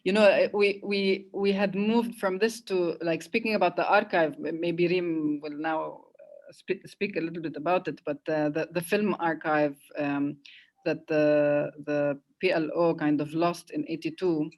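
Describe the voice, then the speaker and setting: Woman, lower register, casual panel discussion, halting, circles back to rephrase. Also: steady tone, a little mellow. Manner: halting, self-interrupting, conversational.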